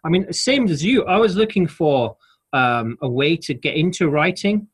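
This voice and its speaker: A man's voice: on the high side, expressive, normal speed, slightly rough. Wry Yorkshire man in his forties. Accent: Yorkshire English. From Yorkshire, England. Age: 40s. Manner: self-deprecating, rambling with wry asides. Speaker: guest. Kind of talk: casual interview chat.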